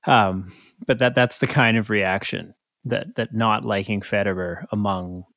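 A man co-hosting a podcast in casual conversation, talking in a halting way and often correcting himself. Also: on the low side, steady tone, vocal fry, fairly bright tone, no room sound, clean studio sound. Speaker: podcast co-host. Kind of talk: casual chat.

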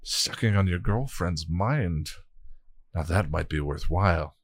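A man's voice: deep voice, unhurried, dry recording, clean studio sound. A man voicing a vain, vicious female hell god who mocks and snarls at her foes. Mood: mocking. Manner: mocking, taunting, snarling.

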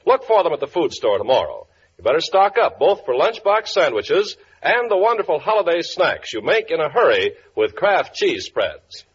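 A dull, slightly noisy vintage radio recording carries a man selling like a 1940s commercial announcer. His delivery is emphatic and enthusiastic, with pitch that swings widely from phrase to phrase. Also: very animated, normal speed.

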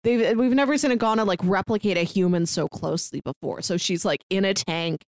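A woman's voice: mid-range voice, brisk, darkish tone, dry recording. A woman with a mid-range voice talks casually as a podcast co-host. The sound is dry and close. She retells things informally, dropping in asides as she goes. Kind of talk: casual book discussion chat.

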